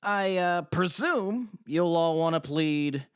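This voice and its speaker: A man's voice: fairly high pitch, lively intonation, slow, clear, resonant tone, dry recording. Guy doing an earnest middle-aged lawyer, formal, bit hesitant, swingy intonation, dry studio audio drama.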